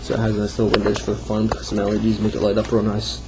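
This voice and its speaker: A man with a fairly low voice talks through a hands-on demo of his own project. His delivery is informal and unscripted, wandering a little as he goes, with a self-deprecating streak. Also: completely flat delivery, quick pace, background noise. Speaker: hobbyist builder showing a homemade project. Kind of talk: casual off-the-cuff demo narration.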